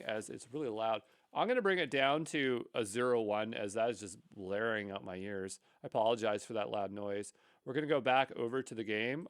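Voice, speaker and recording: Man thinking aloud, clear dry voice. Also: mid-range voice, fairly bright tone, no echo. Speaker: tutorial instructor. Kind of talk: unscripted screencast coding tutorial, thinking aloud.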